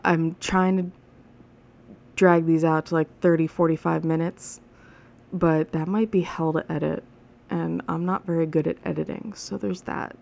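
Low, gravelly-voiced woman podcaster. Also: fairly even intonation, unhurried, a little mellow. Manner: rambling, chatty, frank with swearing. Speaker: podcast host. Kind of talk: casual solo podcast monologue. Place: alone at home.

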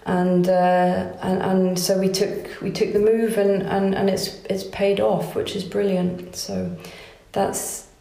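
A woman's voice: medium pitch, flat delivery, takes it slowly, creaky, hardly any pauses. A woman in her forties, raised in Wales, chatting in an interview as a competition organiser, with frequent restarts. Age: 40s. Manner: chatty, warm laughs, many restarts. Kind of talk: casual interview. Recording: roomy echo.

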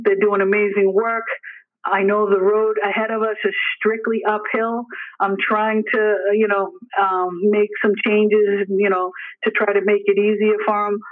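Male emergency services leader, high voice, earnest and emphatic interview answers, narrow tinny phone-line sound. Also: level tone, measured pace. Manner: earnest, emphatic, repetitive phrasing. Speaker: emergency dispatch deputy superintendent. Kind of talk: interview answers, informal explaining.

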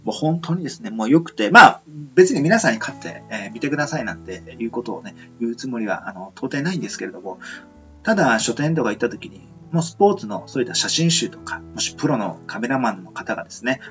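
A man hosts a homemade radio show with a very animated, swinging intonation. He speaks politely and earnestly, feeling for his words as he muses. The sound is a little muffled and lo-fi, with guitar music underneath. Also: mid-range voice, fast. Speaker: radio host. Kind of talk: rambling reflective monologue for an amateur radio show. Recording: dull lo-fi audio.

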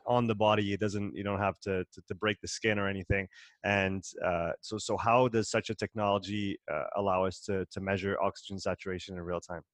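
A man's voice: lowish voice, fairly bright tone, no echo. A man hosting a fitness podcast, introducing his guest and asking questions in a friendly way with small restarts. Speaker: podcast host. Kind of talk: interview hosting.

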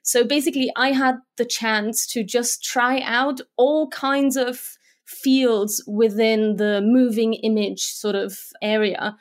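A woman's voice: on the high side, steady tone, takes it slowly. A young adult woman from Slovakia with an English accent, chatting casually as an interview guest, with many fillers and restarts. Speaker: guest, motion graphics designer.

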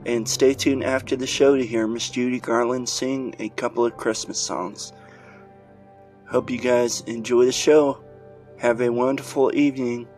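A man's voice: medium pitch, fairly even intonation, unhurried. A man speaking as a podcast host, giving a casual introduction to a show. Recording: loud music behind the voice. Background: music.

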